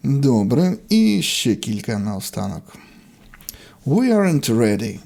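A man's voice: mid-range voice, sing-song, slow pace, gravelly, darkish tone. A man teaching a language lesson, reading out short, separate example sentences in an instructional way. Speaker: English teacher.

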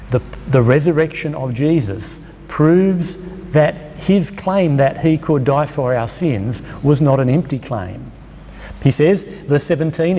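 A middle-aged man with an Australian accent, preaching a sermon at a lectern microphone, emphatic and slowing down on key phrases. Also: on the high side, warm tone. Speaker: pastor. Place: church hall with a lectern. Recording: long reverb of a church hall.

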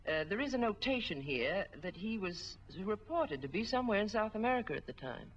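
An actress playing a businesslike middle-aged records official, formal and precise, in a 1940s film. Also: female voice, mid-range voice, big pitch swings, a little fast. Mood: businesslike, regretful. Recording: muffled 1940s film soundtrack.

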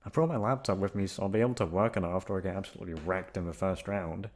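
A man acting as a college-age delinquent with a fairly low voice and a soft heart for his best friend. He talks casually and teasingly, swearing and hesitating as he goes, in an intimate ASMR roleplay. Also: rapid-fire. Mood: playful, complaining. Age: young adult. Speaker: voice actor.

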